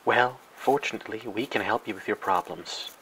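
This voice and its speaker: A man narrating a parody educational film in a mock-serious, grandiose and deadpan way. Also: fairly low pitch, a little fast, noisy background. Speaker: narrator and host.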